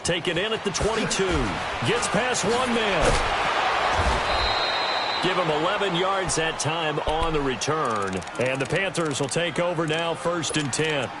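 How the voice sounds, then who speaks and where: A man's voice: a little high, a little slow, natural voice. An American man playing a TV play-by-play announcer, calling an American football game. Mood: brisk, engaged. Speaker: play-by-play sports commentator. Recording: loud stadium noise behind voice. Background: loud stadium game noise.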